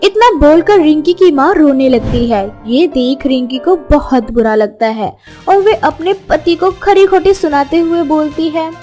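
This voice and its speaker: A woman's voice: sits high, lively intonation, unhurried, dark tone, faint music behind. A woman narrating a scripted moral story as its storyteller.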